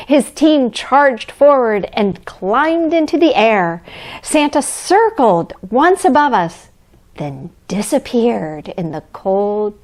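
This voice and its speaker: Woman playing Santa's kindly wife, storybook reading for children, gentle, expressive, very unhurried pace, lightly raised pitch. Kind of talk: children's storybook reading, addressing young listeners.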